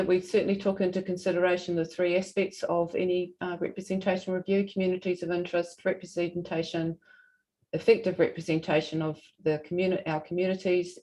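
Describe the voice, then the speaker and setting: Kiwi woman doing a council style presentation, lowish voice, bit halting, keeps correcting herself. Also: dark tone, rough recording. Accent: New Zealand. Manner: halting, hesitant delivery with frequent self-corrections. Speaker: regional council representative presenting. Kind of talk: formal presentation to a hearing, loosely spoken.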